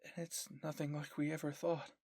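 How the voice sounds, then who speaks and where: A man's voice: a little high, level tone, moderate pace, gravelly, a little mellow, dry recording. Guy doing a hesitant young woman explaining stuff, earnest, dry close sound, story roleplay.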